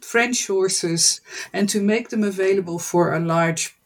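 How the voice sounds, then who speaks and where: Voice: mid-range voice, measured pace. A speaker from the Netherlands, a guest professor answering interview questions in a thoughtful, hesitant way with many fillers.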